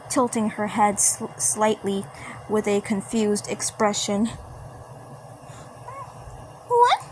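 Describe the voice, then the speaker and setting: Slow, halting woman reading a script. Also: medium pitch, darkish tone, low-quality recording. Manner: halting, repeats phrases. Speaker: fan-dub narrator. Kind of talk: reading story script and stage directions. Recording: faint background noise.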